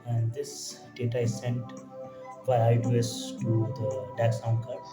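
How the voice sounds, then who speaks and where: A man giving an informal technical demo in a halting way, often tacking "actually" onto his phrases. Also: medium pitch, flat delivery. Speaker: electronics hobbyist presenter. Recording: echoey room, distant mic, faint music.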